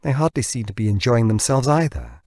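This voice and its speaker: A man reading a novel aloud as scripted narration, in a measured and expressive storytelling manner. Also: mid-range voice, vocal fry, dry recording, studio quality. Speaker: audiobook narrator.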